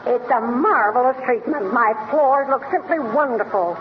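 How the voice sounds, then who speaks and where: A muffled, noisy 1930s radio recording carries a grateful housewife. The voice is extremely high and gushes with admiration, in the manner of an old advertising skit. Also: male voice, normal speed. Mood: gushing. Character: gushing grateful housewife patient.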